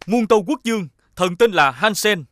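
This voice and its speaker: Casting: earnest, courageous young fairy-tale hero, southern Vietnamese accent, slightly high, very animated intonation. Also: male voice, normal speed, dry recording. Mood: respectful. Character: brave, earnest, kind young male folk-tale hero.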